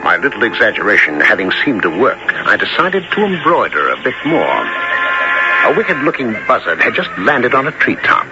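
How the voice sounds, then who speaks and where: Explorer narrator, super lively and dramatic, dull 70s radio recording, music under. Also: male voice, on the low side, moderate pace. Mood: sly, composed. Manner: vivid, dramatic storytelling. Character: adventurer telling his own dramatic expedition tale. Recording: muffled old radio recording.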